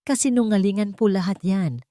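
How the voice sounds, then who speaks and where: A woman's voice: medium pitch, moderate pace, warm tone, no echo, natural voice. Woman playing a young wronged wife, earnest, emotional, audio-drama delivery, dry sound. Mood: tearful pleading. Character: wronged young pregnant wife, firm yet fearful.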